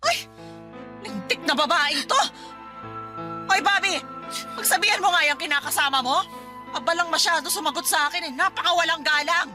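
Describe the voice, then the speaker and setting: A woman's voice: very high-pitched, normal speed, pauses often, music in the background. A woman playing an irritable older Filipino mother who scolds and complains in a radio drama. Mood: irritated, scolding. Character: nagging older mother, irritable, strict about housework.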